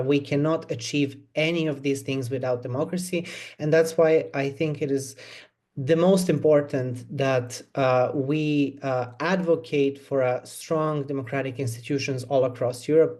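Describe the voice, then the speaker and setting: A young man from Serbia giving a halting campaign speech as a candidate on a video call. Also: medium pitch, darkish tone. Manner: halting, many filler pauses. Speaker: election candidate.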